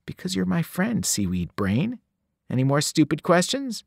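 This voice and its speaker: A man voicing a guarded, blunt teenage girl who speaks coolly and quietly. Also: fairly high pitch, wildly swooping pitch, normal speed, vocal fry, husky, dry recording, clean studio sound, voice pushed up, full character voice. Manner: cool, clipped, quiet.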